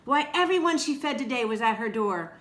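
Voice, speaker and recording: A woman reading a picture book aloud to children in an expressive way, with chatty asides to her young listeners. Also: a little high, a little fast. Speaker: read-aloud storyteller for children. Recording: roomy echo with faint background noise.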